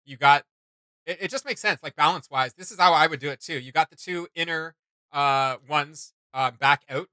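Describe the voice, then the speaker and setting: Male reaction host, slightly high and forceful, casual slangy commentary that gropes for the right word, close dry sound. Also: normal speed, clear, resonant tone, no room sound. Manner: hesitant, searching for words, informal slang.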